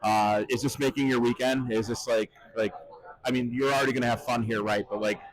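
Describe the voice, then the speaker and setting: A man's voice: medium pitch, steady tone, rough recording. A man casually interviewing two people waiting in line, upbeat and friendly. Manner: friendly, upbeat, asks quick questions.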